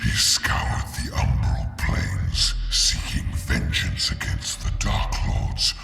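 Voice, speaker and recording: Ominous ancient chronicler, growling hoarse whisper. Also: male voice. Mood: ominous, solemn. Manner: solemn, portentous, halting phrases. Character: ominous, ancient male chronicler of hellish legend. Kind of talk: epic scripted game narration. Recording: echoey, under loud game music.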